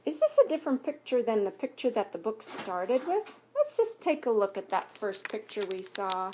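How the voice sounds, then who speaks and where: A woman reading a picture book aloud to young pupils as a teacher, expressively, pausing to ask questions and explain things simply. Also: fairly high pitch, very animated, a little mellow, a little hiss or hum. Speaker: elementary school teacher reading aloud to students.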